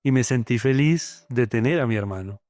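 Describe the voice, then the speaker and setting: A middle-aged poet from Colombia with a Bogotá accent, speaking thoughtfully in an interview, often pausing with fillers. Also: male voice, medium pitch, darkish tone, no room sound. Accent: Bogotá Colombian Spanish. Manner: thoughtful, many hesitant fillers. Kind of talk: reflective interview talk.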